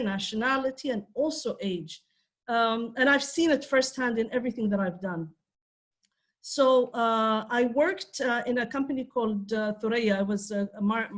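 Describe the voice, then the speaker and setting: A woman in her fifties from Ethiopia, giving a conversational talk on a panel with frequent fillers. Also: fairly high pitch. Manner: conversational, many fillers and restarts. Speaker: conference speaker.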